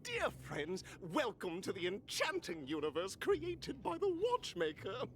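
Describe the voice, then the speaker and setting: A woman's voice: medium pitch, huge pitch swings, a little slow, heavy background noise. A woman playing an exuberant, showy game-show host announcing challenges in a video game. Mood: grand, welcoming. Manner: showy, bubbly, grandiose.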